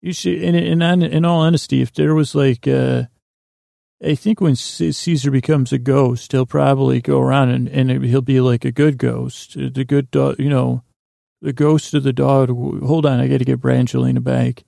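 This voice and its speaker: A man hosting a podcast with a meandering, halting bedtime monologue that keeps drifting off track, in a gravelly, creaky voice. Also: mid-range voice, little pitch movement, mellow, no room sound, studio quality. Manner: meandering, halting, digressive, loses his thread. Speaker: podcast host. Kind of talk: rambling bedtime monologue meant to help listeners sleep.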